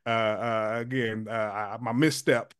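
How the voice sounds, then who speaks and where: A man's voice: fairly low pitch, little pitch movement, measured pace, fairly bright tone, clear, resonant tone. An earnest man in his forties gives a long, reflective answer as an interview guest. He stops and restarts often. His voice sounds close and dry, with no room echo. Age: 40s. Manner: earnest, many fillers and restarts. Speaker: guest, community leader.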